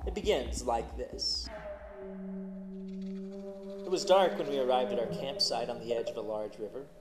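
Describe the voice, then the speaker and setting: A man narrating a first-person story in an expressive, dramatic way as host of a story show. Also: mid-range voice, normal speed, music in the background. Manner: dramatic, animated on exciting parts.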